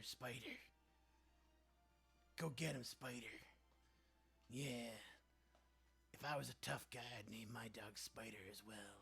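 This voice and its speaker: A man's voice: medium pitch, a bit bright, breathy edge. A young man hosting a casual livestream, reading viewer comments aloud and joking about them. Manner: chatty, reads viewer comments aloud and riffs on them playfully. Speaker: livestream host reading chat.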